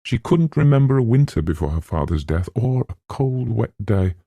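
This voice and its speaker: Male audiobook narrator, literary storytelling, measured, husky, mellow, very dry close recording. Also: medium pitch, very animated, dry recording.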